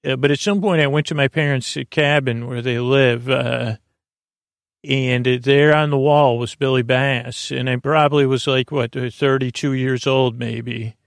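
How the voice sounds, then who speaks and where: A man hosting a bedtime podcast in a meandering, hesitant monologue that drifts off topic, with a gravelly, creaky voice. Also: mid-range voice, steady tone, unhurried, no echo, clean studio sound. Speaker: podcast host.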